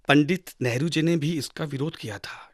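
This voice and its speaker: A man playing a patient father who teaches his son in a measured, didactic way, with dramatic pauses, in a radio drama. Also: fairly high pitch, a bit bright, no echo, studio quality. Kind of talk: Hindi radio drama acting.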